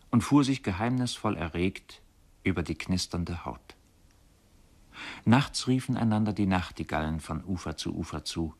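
Radio drama narrator: deep male voice, contemplative, measured literary recitation. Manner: measured, lyrical, solemn. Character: contemplative male narrator recalling river boyhood.

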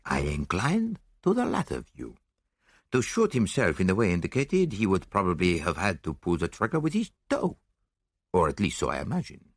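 A man voicing a shrewd, self-assured foreign detective in an audiobook, speaking briskly and precisely with little interjections. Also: low-pitched, huge pitch swings, vocal fry, husky, no room sound, studio quality, exaggerated voice. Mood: explaining, smug.

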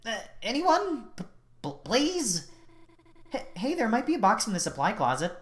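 A woman's voice: medium pitch, big pitch swings, fast, natural voice. A woman voicing a timid, flustered female monster schoolteacher in a video game, hesitant and stammering nervously.

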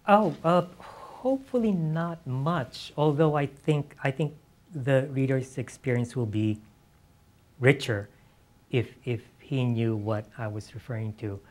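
A middle-aged man, an author answering questions on stage, speaking haltingly and repeating his words and phrases. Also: mid-range voice, darkish tone. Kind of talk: unscripted Q&A talk. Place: on stage in an auditorium with an audience. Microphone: lapel mic.

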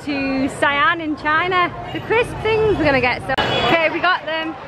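Female British travel vlogger, thirties, high-pitched and informal, handheld camera with background noise. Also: moderate pace, a loud crowd. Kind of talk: casual handheld vlog chat. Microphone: camera at arm's length. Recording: noisy street or room ambience.

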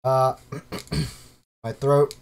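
A young man with a hoarse, fading voice, casually talking over a video game he is playing, swearing now and then. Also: mid-range voice, level tone, extremely slow. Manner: casual, swears, clears throat. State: losing his voice, sick. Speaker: gaming YouTuber. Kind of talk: casual let's-play commentary.

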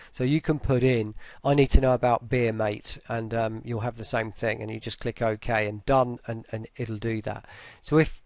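A man presenting a software tutorial in a casual, informal way, thinking aloud as they go. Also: medium pitch, no room sound. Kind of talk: casual screen-recorded walkthrough.

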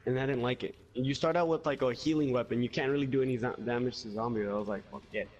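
A man's voice: mid-range voice, quick pace, darkish tone, dull audio. A young man in casual game chat on a voice-chat mic, grumbling and swearing. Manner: grumbling, profane. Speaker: gamer in group chat. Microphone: gaming voice-chat microphone.

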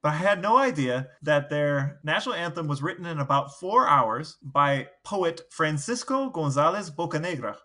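A man's voice: fairly high pitch, lively intonation, normal speed, hardly any pauses, bright, forceful tone. A man co-hosting a podcast, telling anecdotes in a casual, wry, chatty way. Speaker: podcast co-host. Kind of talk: casual storytelling chat.

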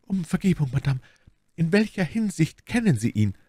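Man as an earnest, high-voiced young novice woman. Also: sing-song, normal speed, dark tone, breathy edge, no room sound, clean studio sound. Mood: suspicious. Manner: earnest, agitated, polite. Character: earnest young female novice, jealous, trusting. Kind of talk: dramatic audiobook character voice.

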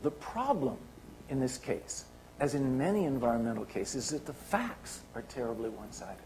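An older man gives a keynote talk in a lecture hall, pointing at slides as he goes. His pitch sits in a middle register, and he talks haltingly, full of restarts and fillers. A faint hiss of noise runs under his voice. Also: sing-song, a little mellow. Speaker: keynote speaker.

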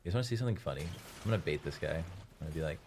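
A young man with a very low voice talks into a headset while he streams. His first language is Farsi, and he chats with his viewers in a loose, self-mocking way. Soft game music plays behind him. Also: expressive, racing. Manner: joking, self-mocking, chatty. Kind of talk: casual stream commentary. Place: small room indoors. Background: faint game music.

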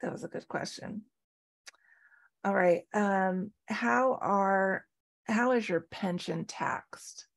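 A woman's voice: medium pitch, takes it slowly, vocal fry. A woman hosting a webinar on a video call, reading out questions and moderating. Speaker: webinar host.

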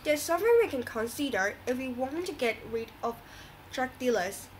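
A woman's voice: sits high, lively intonation, moderate pace, a little hiss or hum. A woman playing an earnest, moralizing talk show host in a scripted radio drama, reading didactically.

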